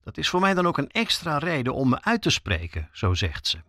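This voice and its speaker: A man's voice: on the high side, huge pitch swings, a little fast, sharp tone, no echo, clean studio sound. A man narrating a scripted documentary podcast as its reporter.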